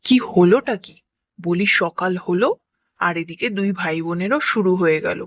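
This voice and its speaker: A woman's voice: lowish voice, moderate pace, no room sound. Woman playing an exasperated village mother, dry sound. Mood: scolding. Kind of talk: Bengali cartoon voice acting.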